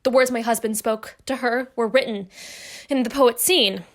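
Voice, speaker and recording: Actress for dignified married lady in period audio drama; somewhat high pitch, composed, formal, firm. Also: fairly even intonation, lightly acted. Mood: apologetic, sincere. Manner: measured, formal period diction. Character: dignified wronged wife, composed and quietly resolute. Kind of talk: stage-play acting in an audio drama.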